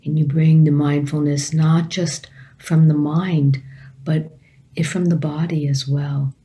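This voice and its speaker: A woman meditation teacher leading a guided meditation calmly and gently, in short phrases with long pauses. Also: low-pitched.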